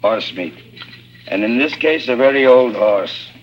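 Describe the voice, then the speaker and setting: An actor playing an earnest, secretive prisoner in a labour camp, with grave, formal phrasing in 1950s radio drama style. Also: male voice, mid-range voice, lively intonation, measured pace, a little hiss or hum, lightly acted. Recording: dull vintage radio recording, music behind.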